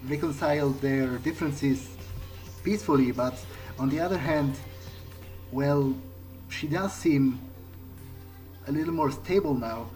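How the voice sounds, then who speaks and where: A man playing a bewildered, newly turned vampire in a video game, musing earnestly to himself in halting, hesitant phrases. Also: medium pitch, a little mellow, lightly acted, rough recording. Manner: halting, hesitant, searching for words. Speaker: video game player speaking in character. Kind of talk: in-character thinking aloud during a playthrough.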